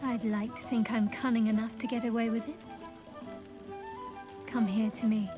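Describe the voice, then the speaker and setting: A woman playing a devout, commanding young dark priestess in a video game, speaking in a measured, formal, wry and intimate way. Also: a little high, flat delivery, lightly acted. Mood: coy, intimate. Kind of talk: video game character dialogue. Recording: game dialogue over background music. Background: music.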